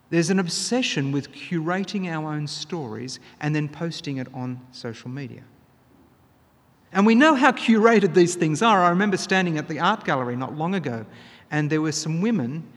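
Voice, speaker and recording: Husky-voiced preacher in a hall. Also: male voice, on the high side. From Australia. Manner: earnest, emphatic, builds to louder stresses. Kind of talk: sermon.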